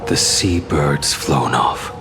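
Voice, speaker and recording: Extremely deep, brooding warrior man. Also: slow pace, gravelly, music in the background, heavily acted. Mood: somber, quiet. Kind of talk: video game dialogue acting.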